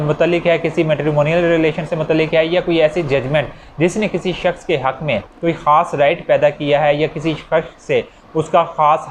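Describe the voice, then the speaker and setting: A man with a Pakistani accent giving an explanatory law lecture, restating points in simpler words in a didactic way. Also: a little high. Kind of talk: explanatory lecture with worked examples. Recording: dull lo-fi audio, faint background noise.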